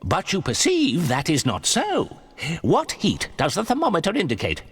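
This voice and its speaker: A man's voice: fairly high pitch, huge pitch swings, normal speed, a bit bright, breathy edge, voice pushed up, heavily acted. A man voicing a pompous, eager older professor in a theatrical, declamatory way for a dramatised audiobook. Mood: triumphant, assured. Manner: declamatory, theatrical, self-assured. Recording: long hall reverb.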